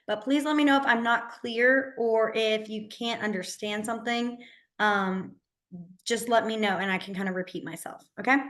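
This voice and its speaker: A woman whose voice is hoarse and half gone. She teaches a beginner class online over a computer mic, chatty and encouraging, with plenty of fillers. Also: on the high side, fairly even intonation, moderate pace, fairly bright tone. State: hoarse, partly lost voice. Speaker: sign language teacher.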